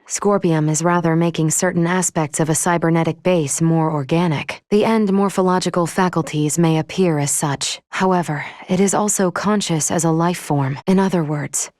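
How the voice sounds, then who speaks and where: A woman voicing a composed, logical android starship officer in a video game, speaking formally and precisely with a measured, clinical manner. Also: on the low side, steady tone, darkish tone, no echo, studio quality, close to an everyday voice. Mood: calm, analytical. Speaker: ship's operations officer. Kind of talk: scripted video game voice acting.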